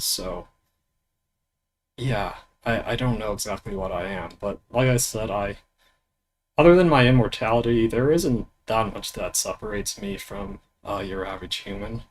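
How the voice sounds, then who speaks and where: A young man at a home mic, talking in an easygoing, rambling way with lots of ums and restarts. His voice sits at an ordinary middle pitch. A faint hiss of room noise sits under it. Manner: rambling, halting with many fillers and restarts; laid-back, swears casually. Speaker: VTuber streamer. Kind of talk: casual self-introduction stream.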